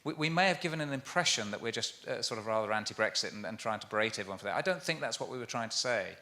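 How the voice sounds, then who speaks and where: A man on a conference panel answers questions quickly and earnestly, doubling back on his words. His voice sits a little low but has a bright, forward ring. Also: expressive. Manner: fast, earnest, with restarts. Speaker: university panelist.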